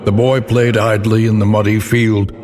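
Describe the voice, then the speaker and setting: An actor playing a grim, ominous narrator of a dark fantasy game, speaking in a solemn, theatrical, measured way. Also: male voice, lowish voice, little pitch movement, faint music behind, close to an everyday voice. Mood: somber storytelling. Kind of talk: dramatic scripted gothic fantasy narration. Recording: long cavernous reverb, faint background noise.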